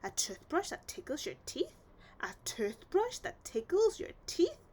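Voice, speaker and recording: A woman's voice: very high voice, wildly swooping pitch, measured pace, darkish tone, pitched above the performer's own voice, heavily acted. A woman voicing a cheerful, eager little monster child in a storybook reading. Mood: amazed, giggly. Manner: childlike, eager, speaks of self in third person.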